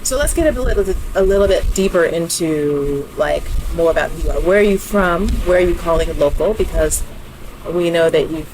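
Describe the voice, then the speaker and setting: A woman's voice: lowish voice, normal speed, a little mellow, slight background noise, rough recording. A woman, interviewing a guest casually as a podcast host.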